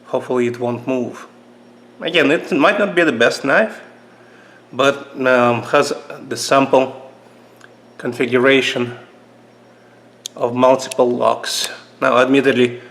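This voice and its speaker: A man with a Russian accent casually reviewing knives at a table for his channel, halting with many fillers and restarts. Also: mid-range voice, fairly bright tone. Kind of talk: casual knife review vlog. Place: indoors at a table.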